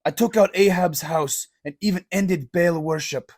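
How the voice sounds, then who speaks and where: A man's voice: high voice, normal speed, a little mellow. A man voicing a ruthless, scheming, proud king who speaks in a commanding, declamatory way in an audio drama. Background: music.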